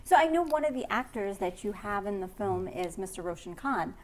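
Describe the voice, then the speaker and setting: A woman's voice: mid-range voice, expressive, fairly quick, darkish tone. A woman hosting a talk show, speaking conversationally in an encouraging, supportive way. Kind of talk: conversational interview.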